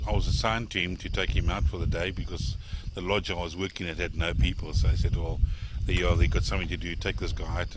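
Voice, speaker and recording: A man's voice: low-pitched, very noisy. A South African man working as a safari tour guide, telling rambling campfire stories with chuckles and restarts. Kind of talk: campfire storytelling. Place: outdoors by a campfire at night. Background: crickets chirping.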